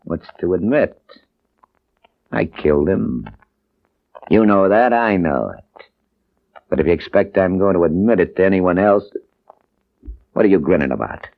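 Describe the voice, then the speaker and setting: An actor playing a menacing, mocking thug in a 1950s radio crime drama, with taunting, slangy delivery. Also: male voice, low-pitched, animated, a little slow, creaky, long pauses, heavily acted. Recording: dull vintage 1950s radio recording.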